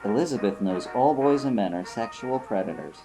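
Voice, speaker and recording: Sarcastic man riffing, pitch swinging freely. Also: expressive, a little fast, rough recording. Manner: mocking, crude, exclamatory. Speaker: comedy riffer. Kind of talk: sarcastic film riffing commentary. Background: film soundtrack music.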